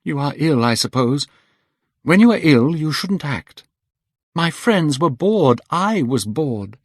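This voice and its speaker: A man's voice: a little high, big pitch swings, slow, no room sound, voice pushed up, heavily acted. A man voicing a proud, passionate young man who speaks coldly and bitterly, in a dry audiobook recording. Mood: scornful. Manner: cold and cutting, then bitter.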